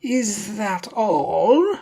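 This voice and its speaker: Arrogant, enraged male superhero for an audiobook, acted by a narrator; slow, threatening, mellow timbre. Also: mid-range voice, sing-song, pitched above the performer's own voice, exaggerated voice. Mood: suspicious, demanding. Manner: imperious, threatening, slow questioning. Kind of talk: audiobook character voice.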